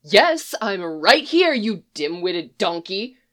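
A woman's voice: medium pitch, very animated, slow pace, a bit bright. Woman doing a sassy, mocking mare. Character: sarcastic sharp-tongued female horse.

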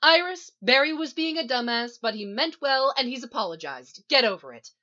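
A woman acting a bossy, exasperated younger sister in a story, forceful and impatient. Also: high-pitched, lively intonation, normal speed, highs cut off, pitched above the performer's own voice.

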